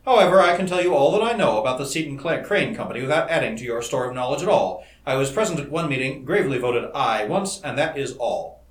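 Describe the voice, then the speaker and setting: A man voicing a shrewd lawyer father of fifty in a storybook, dry, measured and mock-stern. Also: mid-range voice, lively intonation, natural voice. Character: shrewd gray-haired lawyer father of fifty, dryly evasive. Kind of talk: old-fashioned storybook dialogue. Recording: roomy, echoing indoor recording.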